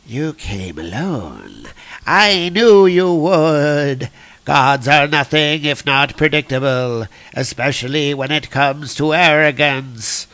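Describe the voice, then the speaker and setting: A man voicing a scornful, cruel villain who slays gods, taunting in a slow, theatrical way for a comic-book story. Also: on the high side, animated, fairly bright tone, dry recording, heavily acted. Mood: smug contempt. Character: menacing, scornful god-killing villain, grandiose and cruel.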